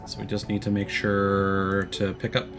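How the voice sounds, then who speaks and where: A man's voice: lowish voice, monotone, moderate pace, over music. A man giving casual, offhand and wry live commentary as he streams a video game.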